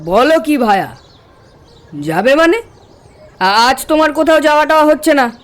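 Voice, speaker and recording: Man acting high-pitched, hospitable village host. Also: animated, moderate pace, fairly bright tone. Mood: hospitable, insistent. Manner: chatty, coaxing, folksy. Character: hospitable, insistent village man hosting his junior colleague. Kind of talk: horror cartoon voice acting. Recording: music behind the voice. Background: background music.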